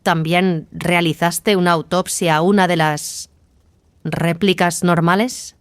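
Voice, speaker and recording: A woman's voice: fairly low pitch, steady tone, takes it slowly, smooth, no echo. A woman playing a stern, righteous warrior nun in an audio drama, speaking in a firm, questioning way. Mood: cool, inquiring. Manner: firm, interrogating. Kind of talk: dramatised audio fiction dialogue in Spanish.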